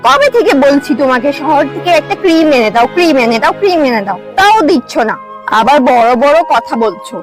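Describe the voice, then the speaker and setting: A woman's voice: high-pitched, very animated, fairly quick, soft background music. A woman playing a vain, lazy young village wife in a cartoon, boastful, complaining and quarrelsome. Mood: scolding, aggrieved. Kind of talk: cartoon voice acting.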